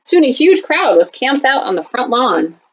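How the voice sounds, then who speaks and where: A woman teacher reading a children's picture book aloud, expressive and speaking directly to young listeners. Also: high-pitched, moderate pace, rough recording.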